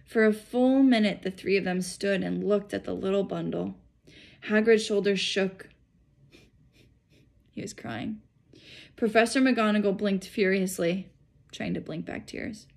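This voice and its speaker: An American woman in her thirties narrates a storybook like a school principal reading to pupils. Her voice sits at a middling pitch with noticeable vocal fry. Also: unhurried, long pauses, darkish tone. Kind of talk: storybook read-aloud narration. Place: small furnished room indoors. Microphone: phone or laptop at arm's length.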